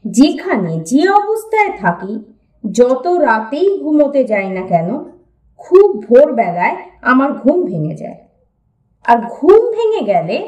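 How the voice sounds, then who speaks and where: A woman narrating a humorous story for an audiobook in an expressive, storytelling way. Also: sits high. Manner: lively, expressive storytelling reading. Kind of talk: reading a humorous story aloud. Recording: roomy echo, voice a bit distant from mic.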